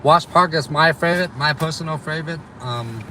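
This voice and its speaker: A young man with a slight Vietnamese accent talks in a loose, rambling way to live viewers, with plenty of ums and uhs. His voice is bright and forward. Faint city and traffic sounds hang in the background. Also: a little high, a little fast. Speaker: delivery driver streaming to viewers. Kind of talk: casual live chat reading viewer comments.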